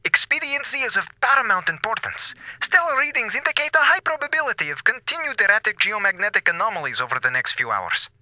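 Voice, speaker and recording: A man's voice: high-pitched, very animated, fast talker, few pauses, pushed and bright, no room sound, exaggerated voice, low-quality recording. A man playing a cold space station scientist in an audio drama, formal, terse and pedantic. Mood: stern.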